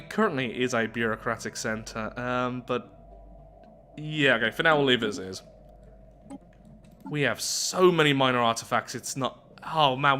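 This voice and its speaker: A man's voice: medium pitch, bright, forceful tone. A man giving chatty gaming commentary, musing aloud and self-deprecating as he switches between his own thoughts and reading story text. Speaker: host of a game playthrough series.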